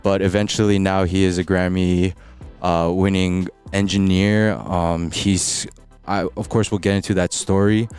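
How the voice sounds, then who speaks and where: A man's voice: sits low, little pitch movement, unhurried, darkish tone, smooth, faint music behind. A man hosting a faith podcast, talking casually with many ums and likes. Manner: hesitant, many fillers. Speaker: podcast host. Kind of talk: casual interview.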